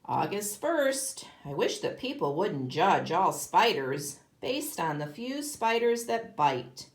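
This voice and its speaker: A woman reading aloud as an earnest young boy spider in a storybook, deliberate and expressive. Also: on the low side. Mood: earnest, wistful.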